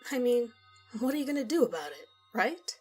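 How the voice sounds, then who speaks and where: A woman narrating a scripted first-person story in a conversational, measured storytelling manner. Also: fairly high pitch, sing-song, warm tone, slightly rough. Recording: music behind the voice. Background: background music.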